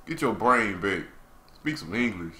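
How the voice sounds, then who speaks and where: A young man reacts casually to an anime in loose slang, joking as he goes. His voice is bright and forceful, and his pitch swings widely. It is recorded in a small, echoing room. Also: lively intonation, a little slow. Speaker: reaction video host. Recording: roomy, echoing home recording.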